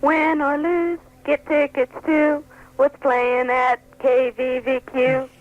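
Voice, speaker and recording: High, slow woman performing a lo-fi jingle. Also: flat delivery. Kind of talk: sung game show theme jingle. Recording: dull lo-fi archived radio jingle.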